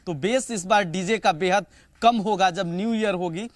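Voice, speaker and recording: High-voiced male news correspondent. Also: normal speed, dry recording. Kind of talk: unscripted live news report.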